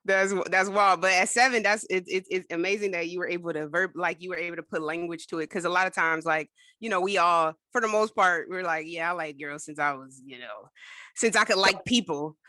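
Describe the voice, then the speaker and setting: A woman hosting an interview podcast, talking in an upbeat, casual and lively way. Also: lowish voice, a little fast, dry recording. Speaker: podcast host. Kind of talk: conversational interview.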